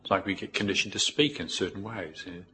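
Man, New Zealand accent, lowish pitch, reflective, pausing, spoken dharma talk, faint noise behind. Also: lively intonation, bright, forceful tone. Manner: reflective, measured with pauses. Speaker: Buddhist monk, teacher. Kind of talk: informal spoken dharma talk with personal anecdotes.

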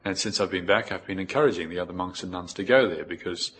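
A middle-aged man with a New Zealand accent giving an informal, reflective Buddhist talk in a measured way, with pauses. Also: deep voice, noisy background. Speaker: Buddhist monk, teacher. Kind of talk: informal spoken dharma talk with personal anecdotes.

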